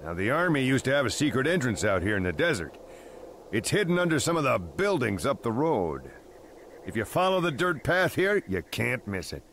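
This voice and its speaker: An actor playing a gruff, urgent military commander in a video game cutscene, with an emphatic, commanding delivery. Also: male voice, medium pitch, very animated, normal speed, fairly bright tone, slight background noise. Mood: grim, briefing.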